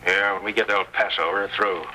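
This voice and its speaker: An actor playing a nervous, slow-witted outlaw sidekick in an old Western radio drama, talking in anxious cowboy slang. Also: male voice, lowish voice, little pitch movement, bright, forceful tone. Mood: worried. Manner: anxious, colloquial cowboy talk. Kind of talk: 1930s-40s Western radio acting. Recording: faintly noisy old-time radio recording.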